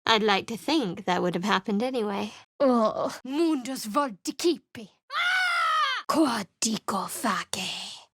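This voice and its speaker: Guarded young dark-goddess cleric, dry sarcastic game voice, a bit high, very dry close studio sound. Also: female voice, sing-song, slow, dry recording, heavily acted. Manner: dry, sardonic, secretive. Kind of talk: video game character voice lines. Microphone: close studio mic.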